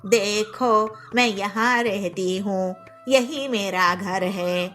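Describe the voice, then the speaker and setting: A woman voicing an old female bird who is a kindly forest goddess, speaking slowly and gently. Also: faint music behind. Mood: gentle. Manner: slow, gentle, low.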